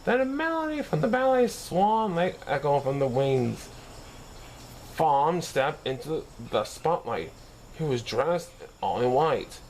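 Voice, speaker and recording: A man's voice: fairly high pitch, big pitch swings, takes it slowly, darkish tone, a little hiss or hum. A man reading a story aloud expressively, occasionally stumbling over a word and correcting himself. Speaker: storybook reader. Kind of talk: scripted story narration read aloud.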